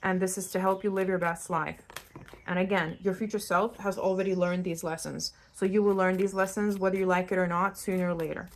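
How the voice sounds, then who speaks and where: A woman's voice: lowish voice, flat delivery, fairly quick, a little hiss or hum. Casual, straight-talking woman. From Lithuania. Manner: blunt, conversational, addresses the listener directly. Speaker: tarot reader hosting her own channel. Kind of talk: unscripted tarot reading to camera, casual. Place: indoors at home in a new-build house.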